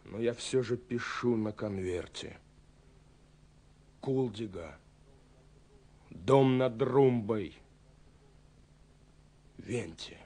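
An actor playing a lonely, yearning young romantic in a radio drama, reading a letter aloud with a husky voice and brooding, halting delivery. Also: male voice, medium pitch, warm tone, slight background noise. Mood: restless yearning. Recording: 1979 radio recording.

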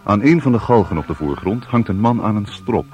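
Man as a low-voiced, sober news reader. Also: animated, a little mellow, close to an everyday voice. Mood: sober, formal. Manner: formal, measured reading. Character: male newspaper report reader, sober and formal. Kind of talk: scripted news report in radio drama.

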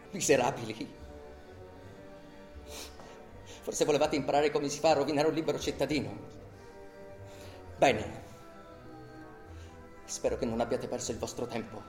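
A man's voice: on the high side, close to an everyday voice. An actor playing an indignant accused man in a radio drama, declaiming rhetorically and sarcastically to a tribunal. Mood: bitter contempt. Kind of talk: theatrical radio drama monologue. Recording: roomy echo, music behind.

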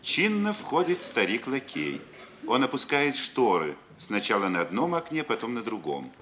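Male narrator for a vintage radio drama, medium pitch, animated intonation, reading stage directions. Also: moderate pace. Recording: noisy vintage 1950s radio recording.

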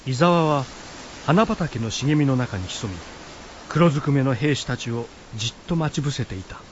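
A man's voice: mid-range voice, expressive, normal speed, slight background noise, close to an everyday voice. A man reading dramatic scripted narration as the narrator of a radio drama. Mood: grave narration. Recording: dull audio with background music.

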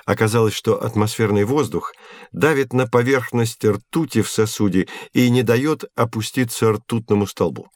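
An actor playing a patient professor who explains science to children didactically in an educational audio drama. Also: male voice, on the low side, moderate pace, no room sound, natural voice. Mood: explanatory. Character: patient male professor teaching children with hands-on experiments.

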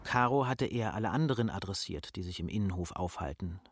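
An actor as a wry, composed narrator of a radio play, speaking in a measured literary way with a husky voice. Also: male voice, deep voice, animated, no room sound, studio quality.

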